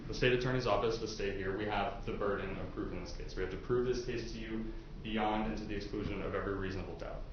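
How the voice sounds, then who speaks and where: A man speaking as a prosecutor questioning prospective jurors in a chatty, informal way, using everyday examples. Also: on the low side. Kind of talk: informal courtroom jury questioning. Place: courtroom. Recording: echoey, muffled, noisy courtroom recording.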